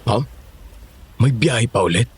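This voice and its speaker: A man's voice: on the low side, expressive, measured pace, breathy edge, heavily acted. A man playing a weary, hungry, cautious hired cook in an audio drama, with a Filipino accent.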